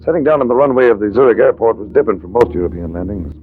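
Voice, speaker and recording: A man's voice: lowish voice, expressive, rapid-fire, close to an everyday voice. A man playing a wry, self-assured hardboiled investigator in 1940s radio drama style, narrating and talking. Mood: wry narration. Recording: tinny, noisy vintage radio recording.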